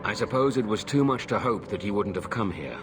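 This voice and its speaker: A man's voice: lowish voice, lively intonation, brisk, over music, close to an everyday voice. A man playing a principled, conciliatory knightly rebel leader in a video game, earnest, formal and authoritative. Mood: grave earnest. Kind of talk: dramatic video game acting.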